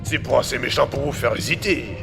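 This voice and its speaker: Gravelly man playing a crude young hitman. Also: medium pitch, fairly quick, over music, natural voice. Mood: tense. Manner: crude slang, agitated, often hesitant. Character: nervous young male hitman, crude and shifty. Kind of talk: amateur audio drama.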